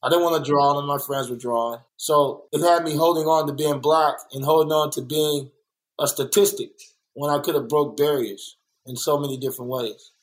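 Earnest man talking, slightly high voice. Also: fairly even intonation, moderate pace, low-quality recording. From born in Kansas City, raised in South Central Los Angeles. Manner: earnest, reflective, repeats points for emphasis. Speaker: muralist and guest. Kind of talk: candid conversational interview.

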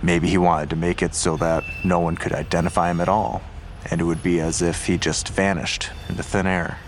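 An actor playing a calm, skeptical male investigator in an audio drama. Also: low-pitched, moderate pace, slight background noise.

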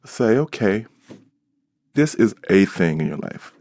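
A middle-aged American man with a very low voice, speaking as an interviewed doctor. His delivery is measured and articulate, and the sound is close and dry. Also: sing-song, darkish tone, no room sound. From Alabama, United States. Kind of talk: reflective interview talk.

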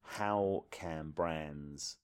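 A man's voice: a bass voice, very slow, smooth. A man hosting a podcast, putting interview questions and narrating links between guests.

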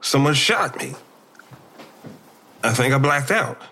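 An actor playing a groggy, injured, uneasy man in an audio drama, halting and husky. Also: medium pitch, expressive, sharp tone, heavily acted. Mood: halting, suspicious. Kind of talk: naturalistic audio drama dialogue.

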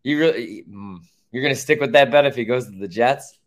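A man with an ordinary mid-pitched voice chats casually about sports as a podcast co-host. He speaks bluntly, pushes his opinions and drops swear words freely into everyday conversation. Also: a little fast.

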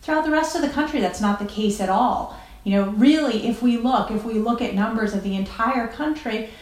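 A woman with an American accent answering as a doctor in an interview, measured and reflective, searching for words. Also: fairly high pitch, darkish tone. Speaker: interview guest, critical care doctor. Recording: roomy, echoey remote sound.

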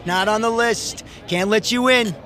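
An actor playing a stern, unbudging bar bouncer in an audio drama, curt and gruff. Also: male voice, sits high, measured pace, crowd noise.

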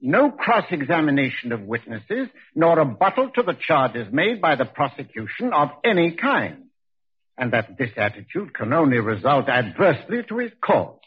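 A stuffy formal judge, raspy highish voice, halting, muffled old radio. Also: male voice, heavily acted. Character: formal, ponderous male judge presiding over a trial. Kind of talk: 1940s radio acting. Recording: muffled vintage radio recording.

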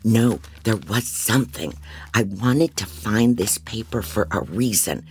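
A man's voice: lowish voice, animated, measured pace. A man playing a frantic, disorganized private investigator who narrates an audio drama in a rambling, wry, self-doubting way. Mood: agitated. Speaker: narrator and lead actor. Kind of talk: first-person dramatic audio fiction narration.

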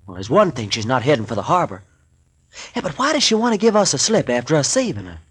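A man's voice: medium pitch, huge pitch swings, quick pace, a bit bright, breathy edge, exaggerated voice. An actor playing a brash, joking male sidekick in a 1940s radio drama, folksy and wisecracking.

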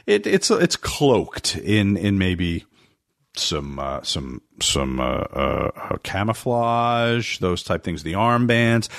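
A man co-hosting a podcast who talks in a measured, hesitant way with many fillers. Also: on the low side, dry recording, clean studio sound. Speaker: podcast co-host. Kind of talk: casual chat.

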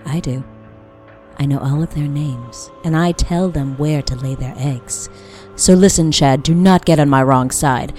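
An actress playing an eerie, faceless old woman who secretly haunts a home, speaking in an intimate, deadpan, scolding way for a fiction podcast. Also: deep voice, measured pace, faint music behind. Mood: quietly menacing. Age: older.

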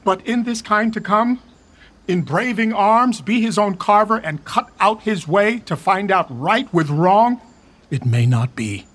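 An actor playing a weary royal uncle in a Shakespeare radio drama, speaking verse in a measured, declamatory way. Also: male voice, high-pitched, expressive, a bit bright, exaggerated voice. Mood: stern. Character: aging royal uncle, weary and torn, reluctantly neutral. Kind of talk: Shakespearean stage acting for radio.

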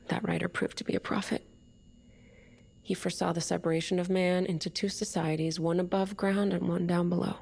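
An actress playing a defiant, cynical leader of an underground separatist movement in an audio drama. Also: female voice, fairly low pitch, gravelly, darkish tone.

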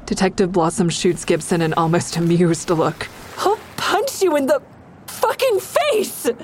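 A woman's voice: fairly low pitch, sing-song, unhurried, a little hiss or hum. An actress playing a defiant, distraught young woman who narrates her own story in an audio drama. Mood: furious, desperate. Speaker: narrator and lead character.

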